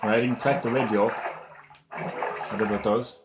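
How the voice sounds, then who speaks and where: A man's voice: lowish voice, steady tone, fairly quick, echoey room, heavy background noise. An Irish man giving casual, joking commentary while playing a game, swearing at enemies. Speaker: gaming channel host.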